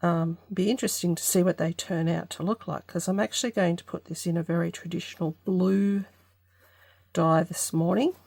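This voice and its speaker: A woman's voice: on the low side, fairly even intonation, creaky, darkish tone, slightly rough, no echo. Congested woman thinking aloud over crafts. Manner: unhurried, tentative, thinking aloud. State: congested from allergy. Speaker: craft channel host. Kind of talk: casual craft tutorial vlog.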